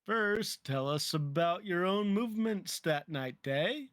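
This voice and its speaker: Woman acting a slow, solemn courtroom judge. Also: on the low side, lively intonation, no room sound, clean studio sound. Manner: measured, formal. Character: solemn, formal courtroom judge in a video game.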